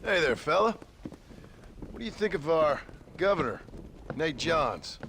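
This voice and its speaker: An actor playing a gruff, wry Old West gunslinger in a video game, gravelly, blunt and laconic. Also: male voice, fairly high pitch, sing-song, a little slow, a bit bright, a little hiss or hum, heavily acted. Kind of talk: video game character acting.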